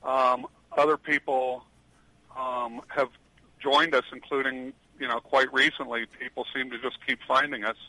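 An older man, a retired attorney, answering questions as a radio guest in a loud voice, rambling with many fillers. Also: mid-range voice, flat delivery, unhurried, dry recording, rough recording. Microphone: headset.

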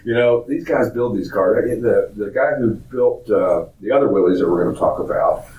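A hoarse man with a raspy voice from a cold, hosting a casual, rambling car podcast in a studio. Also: on the low side, brisk, echoey room, recorded from a distance, narrow, tinny audio. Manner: rambling, chuckling, with many fillers. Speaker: podcast host at a classic car dealership. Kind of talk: casual chat.

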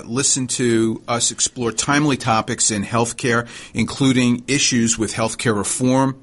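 A middle-aged man with a New York accent hosting a conversational radio talk show, speaking in a halting way with frequent pauses. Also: medium pitch, a bit bright. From New York, USA.

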